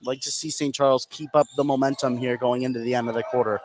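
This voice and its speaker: A man with a medium-pitched voice gives color commentary on basketball. He talks informally and conversationally, offering his own opinions on the play. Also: steady tone, fast talker, noisy background. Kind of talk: conversational sports analysis.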